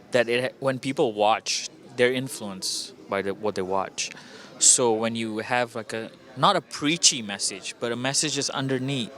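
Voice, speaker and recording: A man's voice: mid-range voice, fairly bright tone. A man from Indonesia giving casual interview answers as a filmmaker, upbeat and reflective.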